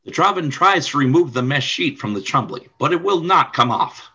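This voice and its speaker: A man's voice: a little high, animated, moderate pace, pushed and bright, slightly rough. A man reading scripted narration for a radio play, describing scenes and action.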